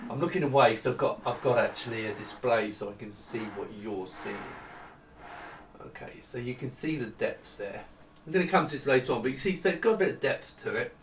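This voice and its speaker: A middle-aged man giving a casual, unscripted product walkthrough in a halting, conversational manner. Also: mid-range voice. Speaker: product designer presenting his monitors. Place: small home office indoors with studio lighting. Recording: echoey room, distant mic, faint noise.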